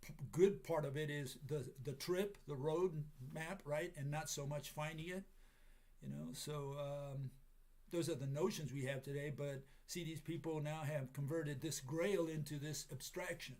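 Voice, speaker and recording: A man with a raspy, hoarse voice from a cold, giving a casual lecture with many pauses and fillers. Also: fairly high pitch, steady tone, a little mellow. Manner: halting, many fillers, chuckles at himself.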